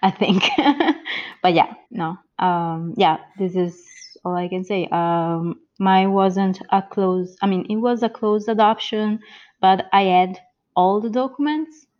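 A woman in her thirties with an Italian accent, a guest on an interview podcast who answers slowly and hesitantly, with many pauses and restarts. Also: mid-range voice, darkish tone, dull audio. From born in the US, grew up in Italy.

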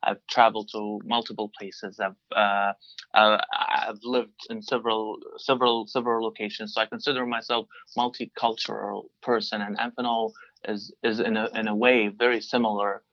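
A man in his twenties from Libya, a native Arabic speaker, answering interview questions in a halting way with many restarts. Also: lowish voice, flat delivery, fairly bright tone, no echo, highs cut off. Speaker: guest, design engineer.

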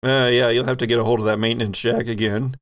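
A man's voice: mid-range voice, little pitch movement, quick pace, no room sound. Man as conversational game master, dry close sound. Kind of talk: improvised tabletop game narration and rules talk.

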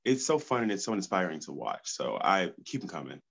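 A man's voice: low voice, sing-song, fairly quick, gravelly, fairly bright tone. A congested man, a podcast guest, talking enthusiastically and outspokenly. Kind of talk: casual podcast chat.

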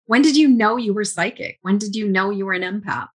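A woman's voice: mid-range voice, brisk, husky. A woman hosting a podcast interview, asking questions with enthusiasm and affirming her guest with frequent murmurs of agreement. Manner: enthusiastic, affirming, many mm-hmms. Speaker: podcast host. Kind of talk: casual interview conversation.

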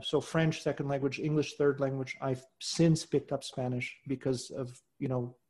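Middle-aged male guest, mid-range pitch, earnest and measured, trails off quietly. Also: steady tone. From Portugal. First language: Portuguese. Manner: earnest and measured, voice trailing off softly at phrase ends. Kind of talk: reflective interview talk.

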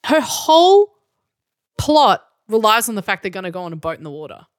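A woman's voice: mid-range voice, big pitch swings, brisk. A woman co-hosting a podcast, bluntly picking apart a stage show with exasperated swearing. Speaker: podcast co-host. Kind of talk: casual chat reviewing a musical.

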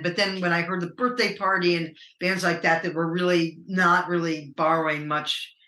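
A middle-aged American woman with a low voice, a musician talking casually in an interview, rambling and hesitant with many fillers. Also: bright, forceful tone, reverberant, low-quality recording. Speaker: musician guest.

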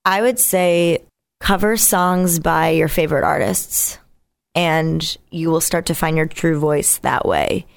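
Naturally raspy, low-pitched woman. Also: slow pace. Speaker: singer-songwriter being interviewed. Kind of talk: casual conversational interview. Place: radio studio.

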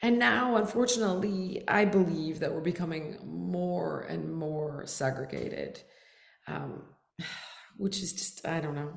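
A woman's voice: low voice, a little slow, gravelly, reverberant. A woman from the United States, a fitness expert who is a guest on a casual interview, talking candidly and with animation, sometimes dropping to a whisper for quoted asides.

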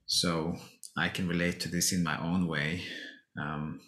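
A man from Norway speaking in a measured, thoughtful way with some hesitations, hosting a reflective conversation. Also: deep voice, level tone, bright, forceful tone, echoey room.

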